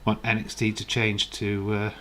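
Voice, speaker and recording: A man with a low, croaky voice rambles through a casual live-stream chat. He reads out viewer comments as he goes, with a faint hiss behind him. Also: completely flat delivery, moderate pace, fairly bright tone. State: hoarse, croaky voice.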